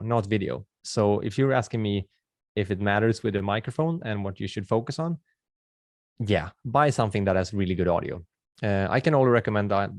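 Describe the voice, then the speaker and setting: A low-pitched man with a Swedish accent and a gravelly edge, talking in a friendly, casual way as he answers questions in a webinar. He restarts sentences and uses filler words as he goes. The recording is close and dry, with almost no room sound. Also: no room sound. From Sweden. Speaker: videographer hosting a webinar.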